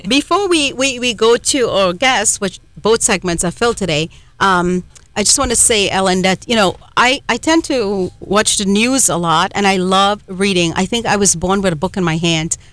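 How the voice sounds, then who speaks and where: A woman's voice: medium pitch, moderate pace, dry recording. A middle-aged woman from Trinidad with a Trinidadian accent, co-hosting a radio talk show in a chatty, rambling way with lots of fillers. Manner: chatty, warm storytelling with many fillers and restarts. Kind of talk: casual chat.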